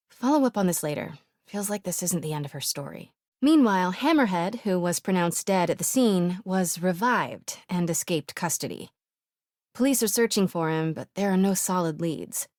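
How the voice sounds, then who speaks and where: A woman voice actress playing a determined young investigative reporter in a video game, with an American accent and a breathy, hushed manner. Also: on the low side, no room sound, clean studio sound, lightly acted. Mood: serious, determined. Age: young adult. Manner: hushed, self-talking, breathy.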